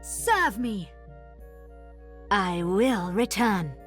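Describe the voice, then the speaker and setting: A woman's voice: medium pitch, expressive, soft background music. An actress voicing a haughty, menacing witch in a game, condescending and toying with her foes. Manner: drawn-out, condescending.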